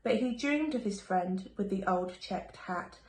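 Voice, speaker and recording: A woman reading a rhyming children's picture book aloud in an expressive, sing-song storytelling manner, like a primary school teacher. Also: mid-range voice, a little slow. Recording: echoey room with faint background noise.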